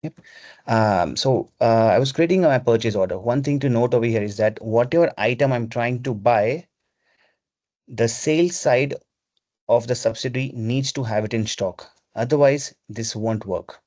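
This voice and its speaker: Male software trainer, mid-pitched, instructional, explaining procedures over lo-fi call audio. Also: moderate pace, dry recording. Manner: step-by-step, instructional. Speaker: webinar presenter. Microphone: video call microphone. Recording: dull, lo-fi video call audio.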